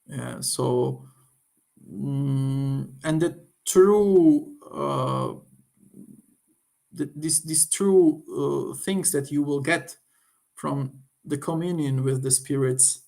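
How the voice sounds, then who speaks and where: A man from Slovakia with a Slovak accent gives an informal, unscripted review, halting as he searches for words. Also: medium pitch, creaky, warm tone. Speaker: occult book reviewer.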